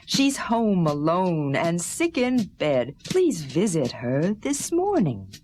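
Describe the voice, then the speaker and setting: A woman's voice: on the low side, big pitch swings, slow, a little mellow, a little hiss or hum. A woman voicing a caring but firm mother in a children's musical story, instructing carefully. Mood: gently firm.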